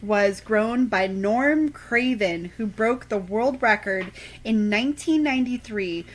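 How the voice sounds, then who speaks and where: A woman's voice: on the high side, slow pace, slight background noise, poor audio. A woman co-hosting a radio show, reading scripted trivia facts aloud.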